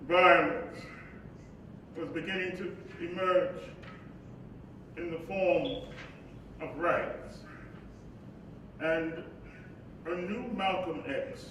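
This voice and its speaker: Distant, noisy and heavily echoing hall recording of a man playing a preacher's spirit looking back on his life. He delivers solemn, deliberate stage oratory in a slow cadence. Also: fairly high pitch. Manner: measured, deliberate, weighty phrasing. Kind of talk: staged reading, oratorical monologue.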